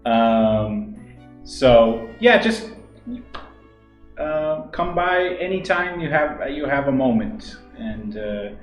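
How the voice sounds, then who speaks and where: Guy cohosting an english stream, talks slow, lots of ums, roomy echo and faint music behind. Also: medium pitch. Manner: relaxed, rambling, with many fillers and restarts. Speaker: English teacher co-hosting a livestream. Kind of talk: casual livestream chat.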